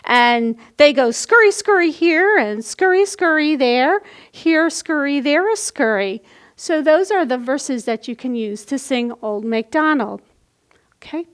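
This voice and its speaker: A woman with a high voice speaks slowly, as a children's storytime host would. She explains simple movements step by step, demonstrating as she goes.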